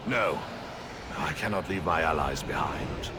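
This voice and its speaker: An actor playing a noble, weary but resolute prince, measured and dignified, in a video game cinematic. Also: male voice, a bass voice. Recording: clear background noise and ambience.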